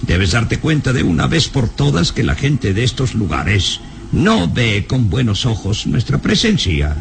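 An elderly professor in a radio serial, fretful and bossy, with a gravelly voice. His delivery swings widely in pitch as he hesitates and warns, over some background noise. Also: big pitch swings, measured pace. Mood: stern, agitated. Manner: nervous, hesitant interjections, stern warnings. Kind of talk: radionovela acting.

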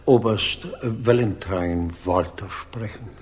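Grave, husky butler. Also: male voice, fairly low pitch, takes it slowly, a little mellow. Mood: grave, deferential. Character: discreet, grave male butler, deferential. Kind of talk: 1960s German radio crime drama acting.

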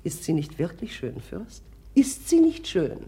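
A woman playing an imperious, excitable married society lady in a 1950s radio drama, insistent and theatrical. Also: on the low side, a little slow, full character voice. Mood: gracious, probing. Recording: 1950s radio play recording.

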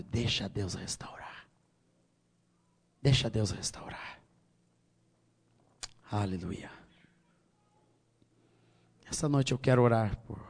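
A middle-aged Brazilian man with a Brazilian Portuguese accent preaching a sermon, swinging from loud high exhortation to quiet low tones. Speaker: pastor.

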